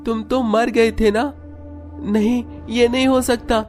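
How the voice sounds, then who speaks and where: A man voicing a brash teenage schoolboy in an animated horror story. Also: extremely high pitch, slow pace. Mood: shocked, fearful. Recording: music under the voice.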